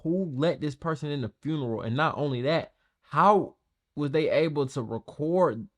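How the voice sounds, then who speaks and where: A man's voice: a little high, moderate pace. A man hosting a reaction video, commenting casually and bluntly in heavy slang and repeating his phrases in disbelief.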